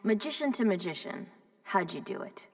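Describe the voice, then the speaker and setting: A woman voicing a gloomy, sardonic teenage sorceress superhero in a video game, with dry deadpan delivery. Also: mid-range voice, normal speed, no echo, recorded from a distance, natural voice. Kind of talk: video game cutscene acting.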